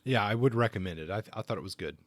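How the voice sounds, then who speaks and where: A man's voice: lowish voice, animated, very fast, no room sound. A man co-hosting a podcast, talking through book reviews in a conversational way. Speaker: podcast co-host.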